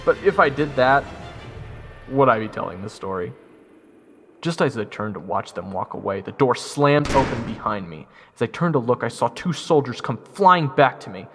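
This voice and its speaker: Fantasy story narrator: male actor as a wry young adventurer, gravelly, with clear background music. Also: medium pitch, lively intonation, moderate pace, a bit bright. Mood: wry, tense. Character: wry, self-deprecating young male soldier recounting his adventure.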